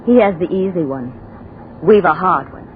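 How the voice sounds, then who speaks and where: A woman's voice: fairly low pitch, animated, normal speed, lightly acted. An actress playing an intense, insistent young rebel woman of the future in a 1940s radio drama. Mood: bitter, brooding. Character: four-hundred-year-old embittered, fanatical young rebel woman of the future. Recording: muffled, noisy old radio recording.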